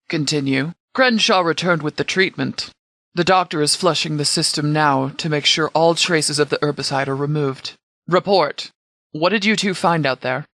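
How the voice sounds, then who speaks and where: Guy doing a stern post-apocalyptic sheriff for a game, bit higher male voice, formal n measured, gives orders, totally dry sound. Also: fairly bright tone, no echo. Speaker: game voice actor playing a sheriff. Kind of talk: scripted video game dialogue.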